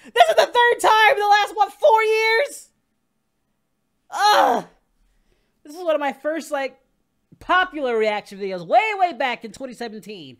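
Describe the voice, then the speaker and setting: A performer voicing an excitable young male anime hero, hosting a reaction video with melodramatic yelling and wailing. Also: very high-pitched, measured pace, pauses often, heavily acted. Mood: outraged. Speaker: reaction channel host. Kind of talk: over-the-top reaction commentary. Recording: music playing behind.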